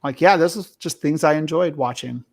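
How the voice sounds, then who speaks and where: A man hosting a casual group discussion about movies, talking haltingly and often restarting his phrases. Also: fairly high pitch. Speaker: podcast host.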